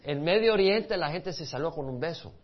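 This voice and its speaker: A man's voice: a little high, animated, brisk. A man from El Salvador with a Salvadoran Spanish accent, a pastor teaching a Bible study in a conversational way and asking his listeners questions. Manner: conversational, asks the audience questions, restarts words. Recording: dull lo-fi recording.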